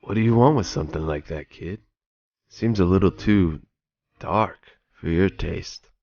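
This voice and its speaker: Actor as a deep, fatherly old retired cop. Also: unhurried, a little mellow, dull audio, slight background noise. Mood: wary, stern. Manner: blunt, old-time slang, paternal. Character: gruff, fatherly retired male police detective. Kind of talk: noir audio drama dialogue.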